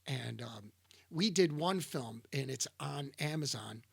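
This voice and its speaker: A man's voice: medium pitch, animated, a little slow, creaky, slightly rough, dry recording. A middle-aged man from the Niagara Falls area co-hosting a casual chat, hesitant and rambling with many restarts. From Niagara Falls area, New York. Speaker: podcast co-host.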